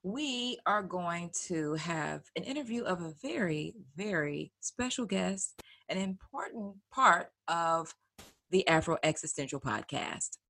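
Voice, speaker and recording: A woman's voice: lowish voice, animated, measured pace, vocal fry, pushed and bright. A woman co-hosting a podcast with casual banter. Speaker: podcast co-host.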